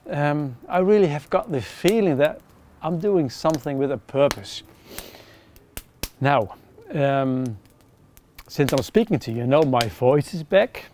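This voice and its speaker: A middle-aged man with a Dutch accent, chatting casually to camera outdoors by a campfire, relaxed with frequent ums. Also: a little high, a little hiss or hum. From Netherlands. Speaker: outdoor gear reviewer.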